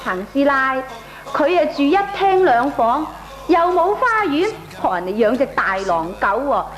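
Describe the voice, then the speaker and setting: High-pitched, grumbling Hong Kong woman neighbour. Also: sing-song, unhurried, reverberant. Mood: complaining. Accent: Hong Kong Cantonese. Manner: exclamatory, complaining, colloquial. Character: irritable, outspoken female neighbour fed up with barking. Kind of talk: Cantonese radio comedy drama acting.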